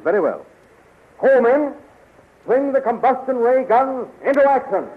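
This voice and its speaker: Space serial hero, resolute and urgent, old 1930s radio style, scratchy narrowband sound. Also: male voice, very high-pitched, animated, measured pace. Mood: commanding.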